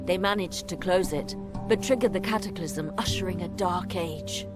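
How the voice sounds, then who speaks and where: Woman playing skeptical fantasy adventurer, lower register, dry and blunt, loud game music underneath. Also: normal speed, lightly acted. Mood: blunt, sure. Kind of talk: fantasy video game acting.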